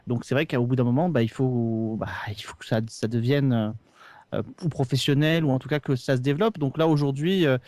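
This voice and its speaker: A man's voice: mid-range voice, a little mellow, dry recording. A man in a casual podcast discussion, hesitant, repeating and correcting himself as he goes. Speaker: podcast host and guest panelist.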